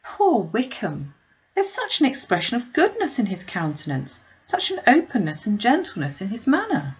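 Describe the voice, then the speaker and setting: A woman's voice: a little high, wildly swooping pitch, moderate pace, lo-fi sound, pitched above the performer's own voice. A woman voicing a kind-hearted, distressed young lady in a classic novel reading, with an earnest, plaintive way of exclaiming. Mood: wistful.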